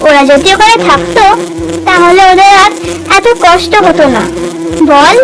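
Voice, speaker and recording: Woman voicing a tiny very high-pitched cartoon bird. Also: measured pace, low-quality recording. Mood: earnest, caring. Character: small anxious and caring cartoon songbirds, young and childlike. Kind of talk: children's cartoon dialogue. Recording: faint music behind the voice.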